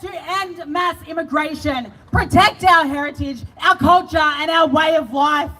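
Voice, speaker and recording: High, forceful Australian woman at a rally. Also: a little slow. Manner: emphatic, shouting, chanting slogans. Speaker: political rally speaker. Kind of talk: impassioned rally speech.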